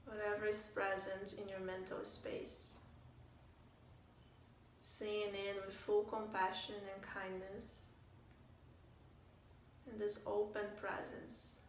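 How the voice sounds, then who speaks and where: A woman guides a meditation in a calm, gentle manner, with a level, barely varying melody and long pauses between phrases. She speaks very slowly, giving soft instructions. The recording is echoey, with faint noise around her voice. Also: medium pitch. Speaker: meditation teacher. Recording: roomy, distant mic, faint noise.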